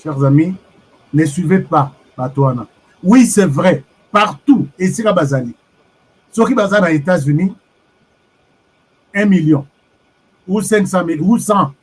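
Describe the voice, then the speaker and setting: Husky-voiced Congolese man streaming commentary. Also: high-pitched, poor audio. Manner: animated, mixing French with Lingala, reading viewer comments. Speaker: online commentator. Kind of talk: live-streamed political commentary.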